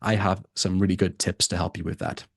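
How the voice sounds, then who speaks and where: A man's voice: low-pitched, little pitch movement, a little fast, creaky, no echo, clean studio sound. A man who tutors for a test, teaching a live online lesson and repeating his key points for emphasis.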